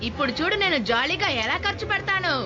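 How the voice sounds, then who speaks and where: A woman voicing a naive, excitable young boy adventurer in a cartoon, eager and childlike. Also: extremely high pitch, fast, lo-fi sound, music in the background. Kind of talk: dubbed cartoon acting.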